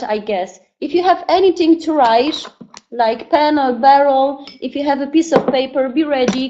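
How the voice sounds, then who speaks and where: A young woman from Poland with a Polish accent, hosting a live webinar in an upbeat, chatty way. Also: high voice. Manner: upbeat, chatty, greets the audience warmly. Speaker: webinar presenter. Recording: dull lo-fi call audio.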